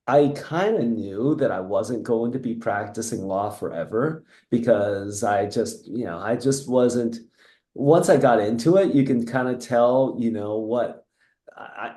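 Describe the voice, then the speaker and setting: Guest, middle-aged US man, mellow, reflective and rambling, echoey room. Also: medium pitch. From United States (Seattle; born in Taiwan). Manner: reflective, rambling with restarts and soft laughs. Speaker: guest, entrepreneur. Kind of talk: casual interview.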